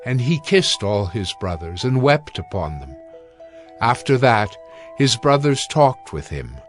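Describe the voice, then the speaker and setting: A man's voice: mid-range voice, big pitch swings, faint music behind. Solemn male scripture narrator. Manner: measured, solemn reading.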